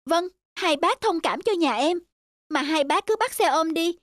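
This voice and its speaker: An actress playing an irritable, put-upon young city wife in a cartoon, with a pointed, complaining way of talking. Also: female voice, extremely high pitch, animated, measured pace, a bit bright, no echo, clean studio sound, full character voice. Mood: irritated. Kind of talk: cartoon dubbing.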